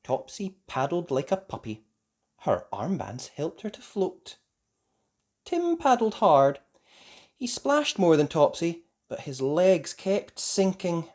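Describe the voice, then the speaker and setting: A children's story reader giving expressive scripted narration in a deliberate, sing-song way aimed at young children. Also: medium pitch.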